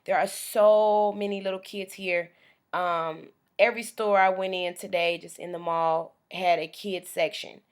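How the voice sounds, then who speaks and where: A woman's voice: fairly low pitch, fairly even intonation, unhurried. A young American woman, chatting casually into a webcam in a small room, rambling with frequent ums. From United States. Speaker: vlogger. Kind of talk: casual vlog monologue. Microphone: webcam at close range.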